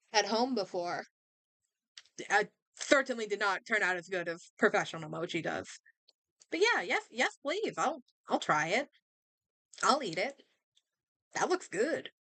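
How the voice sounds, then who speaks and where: A woman streamer chatting casually and thinking aloud in an expressive way as she comments on a game. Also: mid-range voice, dry recording.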